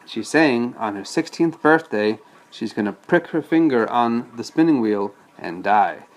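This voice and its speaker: A man's voice: medium pitch, animated, unhurried. A man reading a storybook aloud as a father, patiently breaking off to explain things casually to a child.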